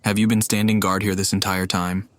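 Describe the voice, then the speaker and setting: Low-voiced man doing game commentary. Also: little pitch movement, a little fast, fairly bright tone, no room sound. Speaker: gamer commenting on a playthrough.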